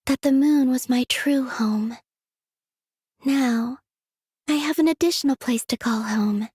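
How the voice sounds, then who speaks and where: A woman voicing a gentle, dreamy young moon maiden from a video game, speaking softly and airily in an unhurried, serene way. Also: on the high side, no echo, clean studio sound. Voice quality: soft, breathy. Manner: unhurried, airy, serene, delicate. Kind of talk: scripted video game character voice lines.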